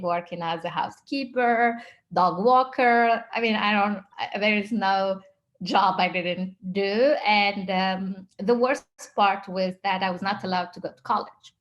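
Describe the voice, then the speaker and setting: A woman in her thirties from Tunisia with a Tunisian accent, an interview guest telling her personal story informally. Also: medium pitch. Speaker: startup founder, interview guest.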